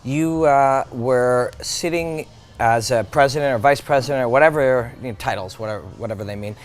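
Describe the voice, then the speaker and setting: A man interviewing a guest in a relaxed, chatty way, asking loosely phrased questions. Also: medium pitch, brisk.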